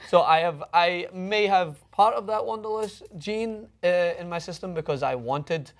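A man's voice: high voice, normal speed. A young man with a Scottish accent giving his opinions casually as co-host of a studio talk show. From Scotland. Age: young adult. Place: TV-style studio, indoors.

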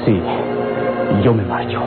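An actor with a Mexican accent playing an ardent, honorable outlaw in a radionovela, speaking in a grave, formal, melodramatic way. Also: male voice, takes it slowly, lightly acted. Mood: grave, insistent. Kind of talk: golden-age radionovela acting. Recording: tinny vintage radio recording, loud music.

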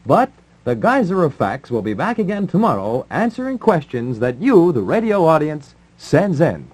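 Animated, playful 1950s radio man. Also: on the high side, normal speed. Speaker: radio co-host and announcer. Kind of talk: 1950s radio announcing and casual banter.

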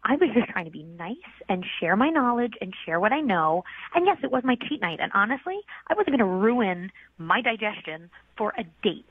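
A woman's voice: medium pitch, big pitch swings, normal speed, hardly any pauses, husky, no echo. A defensive, insistent woman arguing casually as a phone-in guest on a radio show. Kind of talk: casual argument on a radio call. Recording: muffled, noisy phone line.

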